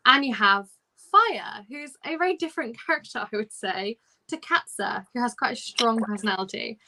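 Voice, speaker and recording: A woman's voice: a little high, normal speed, bright, forceful tone, poor audio. A woman hosting a casual book discussion on a livestream, talking in a chatty, informal way. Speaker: host of a book discussion live show.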